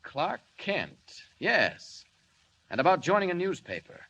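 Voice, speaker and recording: A noble superhero from an old 1940s radio serial. His voice is bright and forceful, swinging widely in pitch. He speaks formally and declaims with grave earnestness. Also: very animated, slow, lo-fi sound, full character voice. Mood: grave, earnest. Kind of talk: 1940s radio drama acting. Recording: vintage 1940s radio recording.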